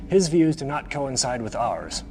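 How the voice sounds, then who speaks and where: An actor playing a smug, menacing right-hand man of a cult leader in a game cutscene, deliberate and condescending. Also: medium pitch, very animated, darkish tone. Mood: smug, cold. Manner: deliberate, condescending, coolly threatening. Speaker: villainous mission briefer. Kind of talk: video game cutscene acting.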